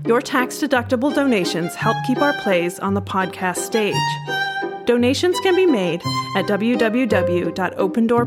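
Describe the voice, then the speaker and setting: A woman hosting a theater podcast, reading a scripted announcement. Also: on the high side. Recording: loud violin music behind. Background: violin music.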